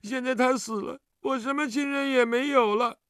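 一名男子在有声故事中扮演一个倔强、胆怯又委屈的丧亲小男孩，说话吞吞吐吐。. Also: male voice, sits high, animated, no room sound, clean studio sound, pitched above the performer's own voice. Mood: aggrieved, tearful. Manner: halting, stammering, plaintive. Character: stubborn, grieving orphan little boy, sulky and timid.

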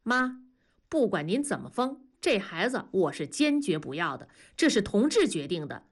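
A woman's voice: medium pitch, sing-song, moderate pace, slightly rough. A woman voicing an upset wife in her late thirties, pleading and exasperated. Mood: resolute. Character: upset woman near forty, distraught.

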